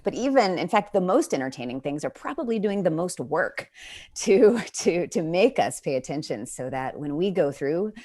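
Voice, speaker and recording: A woman's voice: lowish voice, very animated, normal speed, darkish tone. An American woman speaking as an interview guest, reflecting earnestly and thoughtfully on her work and building emphatic lists as she goes.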